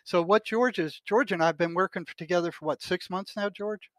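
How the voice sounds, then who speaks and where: Older guy hosting a podcast, high-ish voice, hammering his point home, dry sound. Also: fairly quick, a bit bright, no echo. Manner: emphatic, insistent statements. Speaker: podcast host, retired psychotherapist. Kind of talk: casual chat.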